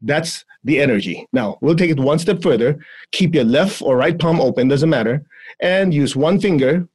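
A man with a Chinese accent teaching energy exercises in a podcast chat, playful and quick to laugh between calm instructions. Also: fairly high pitch, slightly rough. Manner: playful, laughs often, breaks into giggles.

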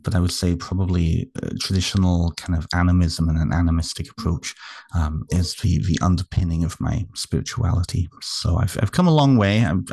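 A British man speaking as an interview guest, thoughtful and measured, often searching for his words as he reflects. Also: low voice, vocal fry, darkish tone, husky, no echo, studio quality. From United Kingdom, moved to Canada young. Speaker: podcast guest, author and therapist.

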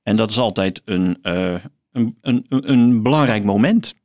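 A man talking as an interview guest, haltingly, repeating words as he searches for his phrasing. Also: lowish voice, darkish tone. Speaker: guest, philosophy professor. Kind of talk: conversational book interview.

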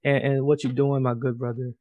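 A man's voice: medium pitch, little pitch movement, fairly quick, warm tone, dry recording. A man hosting a podcast interview in an informal, conversational way, often stumbling and repeating words. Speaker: podcast host.

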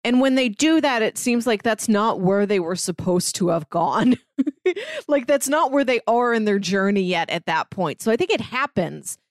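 A woman's voice: medium pitch, animated, moderate pace, dry recording, clean studio sound. A woman co-hosting a podcast, talking casually through a book in a conversational way. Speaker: podcast co-host.

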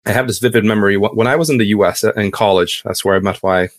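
Guy from hungary chatting as a podcast guest, lowish voice, really dry room, keeps restarting sentences. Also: fast, no room sound. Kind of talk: casual conversational storytelling.

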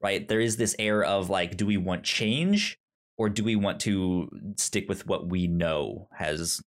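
A man with a fairly low voice co-hosts a casual podcast chat about films. He talks loosely, tripping over words, stammering and restarting his sentences as he thinks out loud. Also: animated, unhurried. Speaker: podcast co-host.

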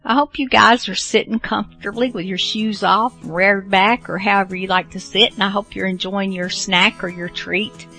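Folksy woman host with creaky voice. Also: medium pitch, sharp tone. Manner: folksy, rambling. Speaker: show host. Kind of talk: casual solo chat to listeners. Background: faint plucked guitar music.

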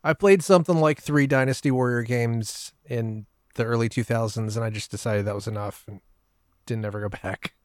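A man talks casually as a podcast host. His voice is of middling pitch for a man, and the sound is very dry, with no room echo. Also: normal speed. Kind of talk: casual chat.

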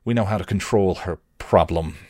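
Guy doing a gruff bossy dad in a story, insistent, pitched up a bit, very dry sound. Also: normal speed, a little mellow, no echo. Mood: stern, insistent. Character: stern, gruff, controlling middle-aged father.

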